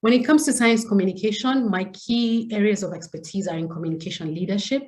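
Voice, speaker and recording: A woman's voice: mid-range voice, fast, darkish tone. A woman with a Kenyan accent introducing herself and leading a training webinar as a presenter. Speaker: science communication trainer, session presenter.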